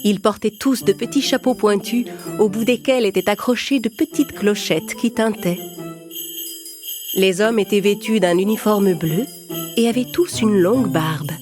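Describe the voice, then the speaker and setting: A woman's voice: mid-range voice, measured pace, music in the background. A woman reading a scripted children's story as narrator.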